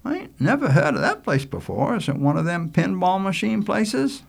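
A man's voice: high-pitched, very animated, moderate pace, vocal fry, pitched above the performer's own voice, full character voice. A man voicing an eager, earnest island boy, polite and outspoken, with folksy rustic speech in a storybook reading. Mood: curious, chatty.

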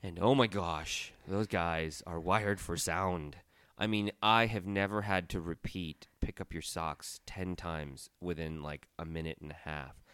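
Deep-voiced man hosting a tea talk show. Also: steady tone, unhurried, a bit bright, smooth, no echo. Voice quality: deep, resonant. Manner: chatty, storytelling. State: stuffy nose, recovering from a cold. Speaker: podcast host. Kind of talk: casual chat. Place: studio.

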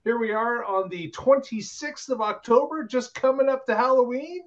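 Man, podcast host, high voice, chatty, filler-heavy, muffled audio. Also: moderate pace. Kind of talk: casual chat show hosting.

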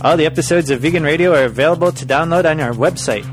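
A man's voice: a little high, fast talker, over music. A man hosting a radio podcast, reading the show's plugs in a friendly, easy way. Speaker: podcast host. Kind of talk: radio interview and show outro.

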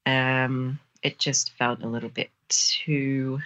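An Australian woman with an exceptionally low-pitched, husky voice that still has a bright, forward edge. She speaks casually as an author in an interview. Her manner is giggly and self-deprecating. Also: little pitch movement, takes it slowly. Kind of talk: casual chat.

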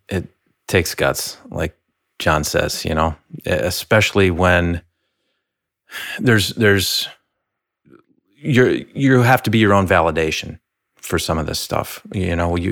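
A man co-hosting a podcast in casual conversation, speaking in a halting, thoughtful way. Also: low-pitched, creaky, fairly bright tone, dry recording, clean studio sound. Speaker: podcast co-host.

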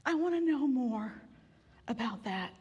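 A woman pastor giving a sermon in a relaxed, conversational way with gentle humor, weary from grief. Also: high-pitched, unhurried, breathy edge, highs cut off. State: grieving, tired. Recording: long hall reverb.

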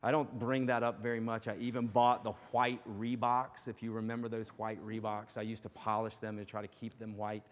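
A man preaching a sermon as pastor. He speaks earnestly and encouragingly, with a loud voice and many fillers and restarts. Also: on the low side, little pitch movement, a little fast, few pauses. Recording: long hall reverb.

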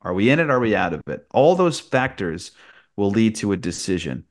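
A man's voice: fairly low pitch, sing-song, a little fast. A man who works as a sports broadcaster, giving conversational sports analysis as a podcast guest.

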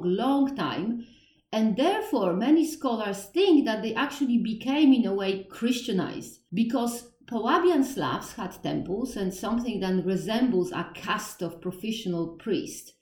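A woman's voice: mid-range voice, darkish tone. Polish-accented woman, roomy and distant. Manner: thinking aloud, self-deprecating, frequent laughs and restarts. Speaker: educator on Slavic history. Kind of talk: informal explanatory talk.

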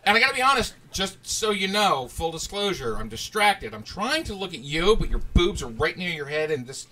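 A man's voice: sits high, sing-song, brisk, poor audio. A middle-aged man hosting a podcast, teasing his guests with crude, joking banter. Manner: teasing, loud and playful. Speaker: podcast host. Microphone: studio microphone.

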